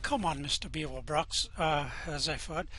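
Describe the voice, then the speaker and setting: A man's voice: on the high side, moderate pace, sharp tone, husky. A man voicing a cheerfully evil male alien prison official with a smarmy, falsely polite way of talking, in an amateur radio play reading.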